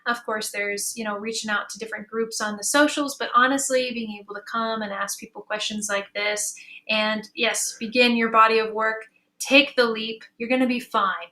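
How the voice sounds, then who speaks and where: A woman's voice: fairly high pitch, fairly even intonation, moderate pace, pushed and bright. A woman speaking casually and earnestly on a panel as an audio drama creator, with an encouraging manner.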